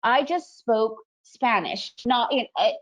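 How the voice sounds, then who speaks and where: A woman's voice: on the high side, takes it slowly. A woman, presenting a trading webinar in a chatty, upbeat way and often checking in with "right?". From Soviet Union, raised in the US. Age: 40s. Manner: chatty, upbeat, frequent fillers and "right?" tags. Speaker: trading educator hosting a webinar. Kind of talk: casual presentation. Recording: dull lo-fi webinar audio.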